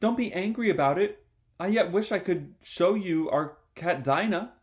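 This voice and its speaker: A man's voice: sits high, moderate pace, voice pushed up, natural voice. A man voicing a polite, chatty, anxious little girl from a storybook, earnestly musing aloud. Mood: soothing.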